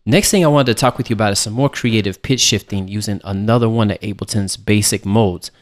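Guy with a lowish voice doing a music software tutorial, casual explainer style, close mic. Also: fairly quick. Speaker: music production instructor.

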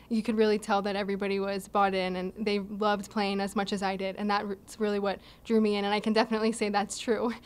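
Young woman athlete at a postgame table mic, pretty flat delivery, earnest and steady. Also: mid-range voice, smooth. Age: young adult. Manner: earnest, steady, with light laughs and restarts. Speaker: college volleyball player. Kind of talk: postgame interview answers. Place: indoor press backdrop, seated. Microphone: table mic in front of her.

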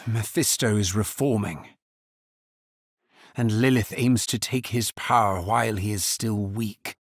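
An actor playing a grave, solemn necromancer hero in a fantasy video game, speaking in a measured, formal manner. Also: male voice, fairly low pitch, dry recording, studio quality. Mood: grave, restrained. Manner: measured, somber, formal delivery. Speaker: video game player character. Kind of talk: scripted fantasy game voice lines.

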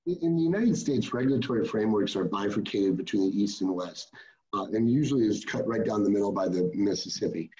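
Dark-voiced man making a formal policy point. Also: on the low side, expressive, fast, lo-fi sound. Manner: earnest, formal policy talk. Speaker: panelist. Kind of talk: panel discussion over video call.

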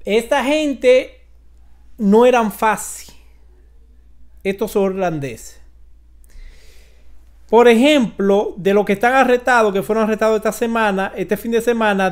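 A man in his forties with a Dominican accent gives informal news commentary as a host, halting and emphatic, with dramatic pauses. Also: sits high. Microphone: close desk microphone.

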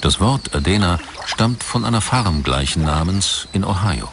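A man narrating a scripted documentary in a measured, articulate storytelling manner. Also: deep voice, animated, vocal fry, noisy background. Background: background music.

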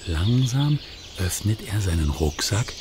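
A man narrating a children's radio play in a suspenseful, animated storytelling manner. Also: fairly low pitch, a little slow.

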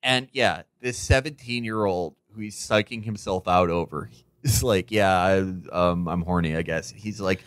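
A man's voice: sits low, normal speed, dry recording. A man co-hosting a podcast, riffing casually in a sardonic, rambling, profane way. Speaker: podcast co-host.

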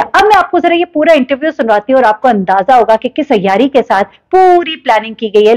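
A woman, commenting to camera in a vlog with animated, ironic storytelling. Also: fairly high pitch. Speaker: vlogger. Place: living room indoors.

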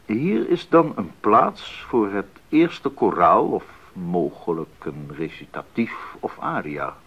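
An actor playing an earnest, enthusiastic Baroque composer in a 1980s radio drama, speaking deliberately and expressively with thoughtful pauses. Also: male voice, lowish voice, sing-song, breathy edge, exaggerated voice. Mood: thoughtful, visionary. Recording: muffled 1980s radio recording.

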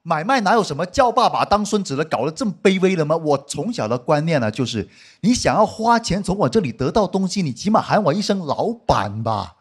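A man's voice: fairly high pitch, big pitch swings, fairly quick, slightly rough. A young man doing a self-mocking stand-up set on a big studio stage, with a handheld mic and chatty asides to the crowd. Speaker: stand-up comedian. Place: large studio stage before an audience. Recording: live stage mic with audience laughter.

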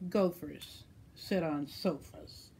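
A woman voicing a bossy, insistent know-it-all cat from a storybook, with emphatic, drawn-out delivery. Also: low voice, gravelly, a little mellow, slight background noise, heavily acted. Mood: insistent, explaining.

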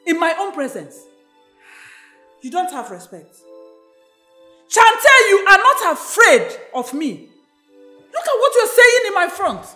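A woman's voice: high voice, sing-song, a little slow, pauses often. A woman playing an indignant, domineering middle-aged village mother with a Nigerian accent in a film drama. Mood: outraged. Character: indignant, domineering village mother scolding her grown daughter. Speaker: actress. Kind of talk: emotional film drama acting. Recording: echoey room, music underneath.

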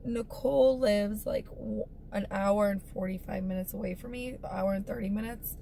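A woman in her thirties with a hoarse, scratchy voice, hosting a chatty, frank vlog from inside her car. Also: mid-range voice, normal speed. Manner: frank, animated storytelling, repeats herself. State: hoarse, lost voice. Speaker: vlog host. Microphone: dash-mounted camera. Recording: distant mic with loud car noise.

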